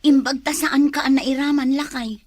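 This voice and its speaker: A woman's voice: high-pitched, fairly even intonation, normal speed, darkish tone, no room sound, close to an everyday voice. A woman playing a chatty, concerned village housewife in a comedy drama, with a Filipino accent. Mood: concerned, curious.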